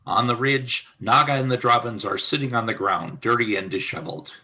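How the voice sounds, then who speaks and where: A man's voice: medium pitch, a little fast, sharp tone. A man reading scripted stage-direction narration for a radio play.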